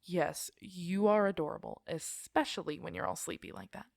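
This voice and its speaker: A woman playing an affectionate, gently teasing girlfriend in an intimate sleep-aid roleplay, murmuring softly and coaxingly to the listener, close to the microphone. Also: lowish voice, normal speed, creaky, dry recording. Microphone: close microphone, dry sound.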